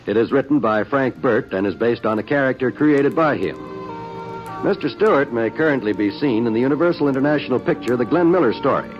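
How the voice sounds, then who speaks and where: A man giving a formal, measured network announcement in 1950s radio style. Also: medium pitch. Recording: dull old broadcast over music.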